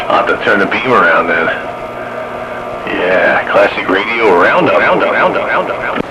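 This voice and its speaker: Lowish-voiced man amid very heavy radio noise. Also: big pitch swings, moderate pace. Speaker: radio hobbyist on a CB round-table. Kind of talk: casual radio chat. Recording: very noisy CB radio session.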